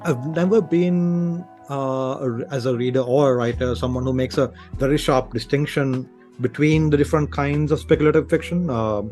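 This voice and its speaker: A man's voice: medium pitch, moderate pace, a little mellow, soft background music. A man from Sri Lanka speaking casually as an interview guest, thinking aloud in hesitant, broken phrases.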